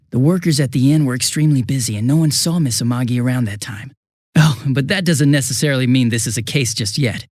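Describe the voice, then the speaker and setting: An actor playing a bumbling, chatty young police detective in a video game dub, with an American accent and a sheepish, stammering, casual manner. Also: male voice, medium pitch, a little mellow, no room sound, clean studio sound, close to an everyday voice.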